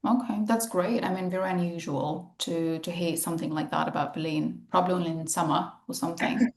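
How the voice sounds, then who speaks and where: A woman's voice: on the low side, fairly even intonation, a little fast, gravelly, reverberant. A woman English teacher leading an online speaking club, chatty and full of fillers, on a video call. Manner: chatty, many fillers like "you know" and "okay". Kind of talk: casual online group lesson. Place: home in Valencia.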